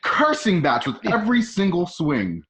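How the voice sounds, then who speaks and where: A man's voice: sits high, wildly swooping pitch, normal speed, a bit bright. A man reading stage directions for a radio drama in a conversational way, with casual asides. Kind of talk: informal scripted narration for radio drama.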